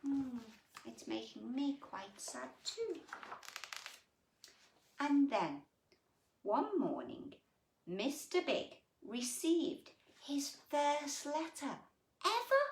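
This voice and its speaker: A woman reading a picture book to young children in an expressive, gentle way, with dramatic pauses, drawn-out words and chatty, sympathetic asides. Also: sits high, gravelly. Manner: gentle, sympathetic, addressing the child listener with tag questions. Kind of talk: animated bedtime story reading with chatty asides to young listeners. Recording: roomy echo, distant microphone.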